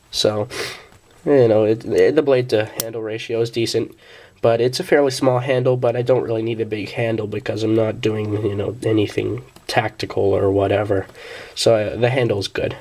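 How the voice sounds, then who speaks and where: A man's voice: mid-range voice, a little mellow. A young man, a bit sick, casually reviewing a pocket knife, with many fillers and restarts.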